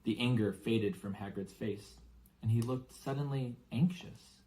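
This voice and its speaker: A man's voice: medium pitch, a little slow, mellow, rough recording. A man giving scripted narration of a storybook as an audiobook reader.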